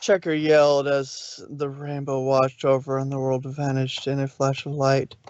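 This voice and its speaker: A man's voice: mid-range voice, monotone, normal speed, darkish tone, smooth, no echo, dull audio. A man reading a story aloud as amateur narrator, sometimes stumbling over the words.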